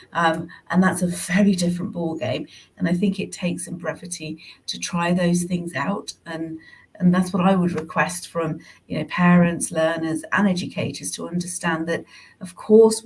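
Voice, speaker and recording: A woman speaking reflectively as a panelist, measured and earnest. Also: on the low side, fairly even intonation, rough recording. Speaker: podcast panelist.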